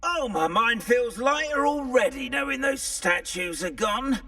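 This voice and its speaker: An actor playing a nervous, eccentric castle caretaker in a video game, with halting theatrical delivery. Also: male voice, very high-pitched, animated, creaky, pushed and bright, dry recording, clean studio sound, distant mic, heavily acted. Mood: relieved, eager. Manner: halting, theatrical, muttering hesitations. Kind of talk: video game character acting.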